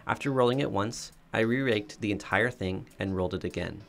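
Low-voiced man narrating a DIY vlog. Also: fairly quick, vocal fry. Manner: plain, matter-of-fact explaining. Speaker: DIY home renovation vlogger. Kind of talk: calm voice-over narration. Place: voice-over, not recorded in the pictured yard. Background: soft background music.

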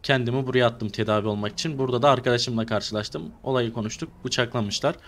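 A man's voice: mid-range voice, fast talker, fairly bright tone, slight background noise. A man chatting casually in role-play as he streams an online game.

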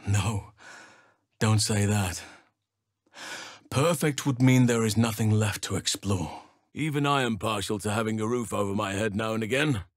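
An actor voicing a wise, gentle and grave archdruid in a fantasy video game, with measured, formal and thoughtful phrasing. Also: male voice, lowish voice, no room sound, studio quality, natural voice.

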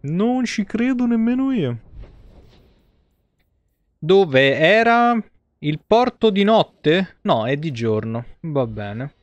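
A man's voice: high-pitched, very animated, slow pace, pauses often, no echo, lo-fi sound. A man, giving casual, sweary, joking live commentary on a video game. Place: indoor room, streaming setup.

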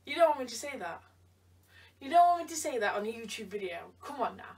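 A woman's voice: a little high, very animated, fast talker, darkish tone. A tired woman chatting playfully in a try-on vlog, teasing someone off camera. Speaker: vlogger. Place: bedroom indoors. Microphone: camera across the room. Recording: voice from a distance.

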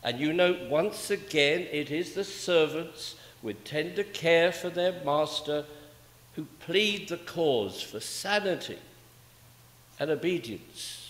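An older man preaching a sermon in a measured, rhetorical manner, with emphatic pauses and repeated phrases. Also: fairly high pitch, expressive, fairly bright tone. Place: indoors, small church at a pulpit. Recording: echoey church with long reverb.

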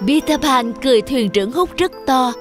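High-voiced woman storyteller with music. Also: animated, normal speed. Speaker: narrator. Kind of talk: scripted children's fairy-tale narration.

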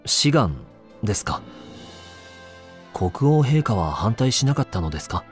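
An actor playing a courteous, inquisitive newspaper reporter in a Japanese video game, a man with a measured way of talking. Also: on the low side, very animated, soft background music. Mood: courteous, probing. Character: courteous, probing young newspaper reporter interviewing royalty.

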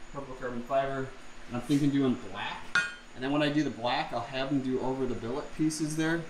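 A man's voice: mid-range voice, reverberant, noisy background. A man casually explaining a jet ski part swap, thinking aloud with lots of fillers, recorded on a camera in his home garage. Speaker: vlogger. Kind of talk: casual how-to vlog.

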